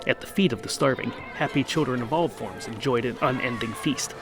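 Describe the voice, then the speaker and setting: A man's voice: mid-range voice, brisk. A man narrating a scripted comic fantasy story in a wry, dramatic manner. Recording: music under the narration. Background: music.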